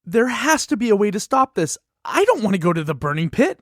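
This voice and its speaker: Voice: mid-range voice, big pitch swings, a little fast, no room sound, studio quality. An actor in a musical puppet show voicing an anxious, earnest children's book that pleads its case expressively. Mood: alarmed, dismayed. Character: earnest, anxious award-winning children's book facing a ban.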